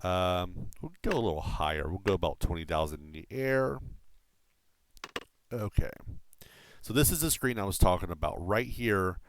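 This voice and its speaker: A man's voice: sits low, lively intonation, normal speed, long pauses. A man streaming a flight combat game, explaining it casually on a desk mic, rambling with lots of fillers and the odd swear word. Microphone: desk microphone through a mixer.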